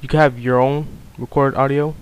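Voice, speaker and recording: A man giving a casual, unscripted software tutorial, explaining things informally step by step. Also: mid-range voice, steady tone, normal speed, smooth. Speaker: tutorial presenter.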